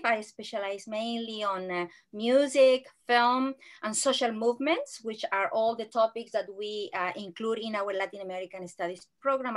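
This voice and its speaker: A woman from Chile, a lecturer introducing herself on a video call in an earnest, emphatic way. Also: on the high side. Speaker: lecturer, centre director. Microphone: laptop webcam video call.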